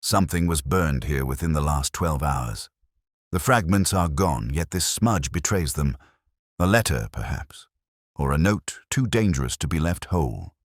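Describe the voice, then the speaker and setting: A man voicing a brilliant, commanding male detective who reasons in formal, deliberate, incisive phrases in an audiobook mystery. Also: rumbling low, animated, no echo, studio quality.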